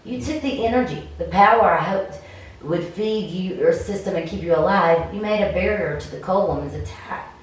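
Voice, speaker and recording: A woman's voice: on the low side, normal speed, lightly acted. A woman voicing an encouraging female adventurer from a story game, explaining and coaching. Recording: very echoey room, distant mic.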